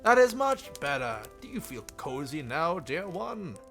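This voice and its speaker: Man putting on a sweet high-pitched coy princess. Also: sing-song, unhurried, soft background music, exaggerated voice. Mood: tender, doting. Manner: coy, gentle, tender. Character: sweet, playful, doting young princess.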